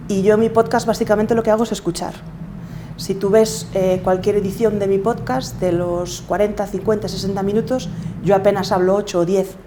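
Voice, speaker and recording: A woman's voice: mid-range voice, steady tone, fairly quick, background noise. A middle-aged woman with a Galician accent, talking reflectively as a guest in an interview. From Galicia, Spain. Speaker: podcaster and coach, interview guest.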